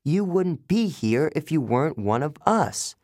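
A man voicing a smart, confident girl camper who explains things earnestly, in a higher voice than his own. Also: big pitch swings, measured pace, a little mellow, no room sound, studio quality.

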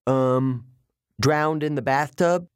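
A man's voice: medium pitch, slow pace, smooth, dry recording, studio quality, pitched above the performer's own voice, lightly acted. A man voicing a nervous, over-eager young male sidekick in a storybook, hesitant and trying to please. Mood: flustered.

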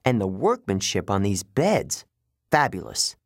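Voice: sits low, sing-song, a little slow, no echo, studio quality, heavily acted. Performer as a wary, bluffing adolescent boy hero. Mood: wary, flattering. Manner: feigned casual friendliness. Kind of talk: audiobook character voice.

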